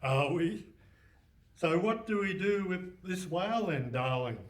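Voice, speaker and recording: An actor playing a slow-witted, doubtful criminal sidekick who questions anxiously in a comic radio play. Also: male voice, high-pitched, expressive. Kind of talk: comic live radio theatre. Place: hall stage before live audience. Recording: roomy, distant mic, faint noise.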